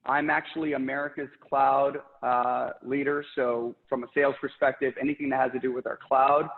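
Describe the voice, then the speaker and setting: A man's voice: mid-range voice, flat delivery, normal speed, gravelly. A man in his thirties from Puerto Rico, talking about his career in an upbeat work interview over a video call, with lots of fillers. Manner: enthusiastic, upbeat, many fillers. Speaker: regional sales director, interview guest. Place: home office, indoors. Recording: tinny echoey video-call audio.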